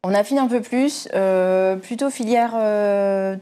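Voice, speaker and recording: A woman from southwest France with a dark, mellow voice, answering an interviewer's questions. She talks easily but hesitates often, filling pauses as she thinks. Her pitch sits at an ordinary middle height for a woman. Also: clean tone. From southwest France, near Bordeaux. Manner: easygoing, hesitant with many fillers. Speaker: guest, company security manager.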